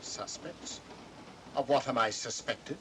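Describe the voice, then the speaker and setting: A man's voice: slow pace, close to an everyday voice. An older man, acting a haughty, irascible professor who speaks with sarcastic challenge in a vintage mystery film. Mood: affronted. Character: irascible, haughty old professor, scornful train passenger. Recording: vintage film soundtrack, train rumble.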